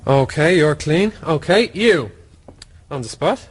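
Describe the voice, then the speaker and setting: A brusque, highish-voiced shady fence. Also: male voice, lively intonation, takes it slowly, full character voice. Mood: brusque, wry. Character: gruff, shifty male fence, brusque and businesslike. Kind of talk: radio drama acting. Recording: clear background noise.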